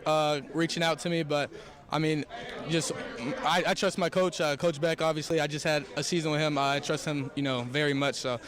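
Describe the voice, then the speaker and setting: A man's voice: on the high side, steady tone, fairly quick, crowd noise. A young man, a college football quarterback, answering questions in a press interview. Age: young adult.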